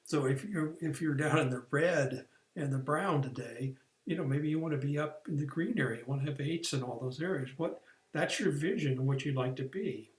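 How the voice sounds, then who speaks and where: A man's voice: a little high, moderate pace, echoey room. A man explaining a career course in a plain, step-by-step way, with some restarts and fillers. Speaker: career coach. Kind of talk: recorded online training lesson.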